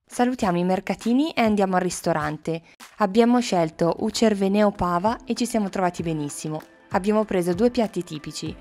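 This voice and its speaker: A woman's voice: mid-range voice, fairly quick, a little mellow. A woman narrating a travel vlog in a scripted style. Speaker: travel vlogger. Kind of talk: scripted travel narration with short casual asides. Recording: music under the voice. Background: background music.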